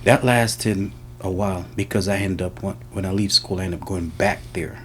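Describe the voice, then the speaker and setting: A man's voice: low-pitched, steady tone, normal speed, a little hiss or hum. A man with a Jamaican accent giving casual answers in an interview. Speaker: interviewee, senior car technician.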